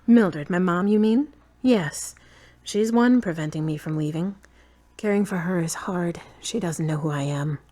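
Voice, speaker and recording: A woman's voice: fairly low pitch, unhurried, mellow, natural voice. A woman playing a tired, frank girlfriend who is fond but uneasy, in earnest, questioning audio drama dialogue. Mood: troubled.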